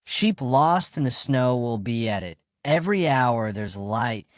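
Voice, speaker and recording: A man's voice: mid-range voice, animated, measured pace, no echo, studio quality, lightly acted. A man acting a sceptical, dismissive young sheep farmer in a radio play, with a brusque, offhand manner. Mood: defensive.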